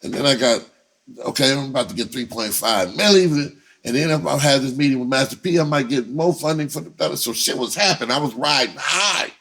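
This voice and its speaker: A man's voice: medium pitch, lively intonation, a little fast, a bit bright. A man telling a personal story in casual, profane slang, repeating himself and acting out conversations as he goes. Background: music under the voice.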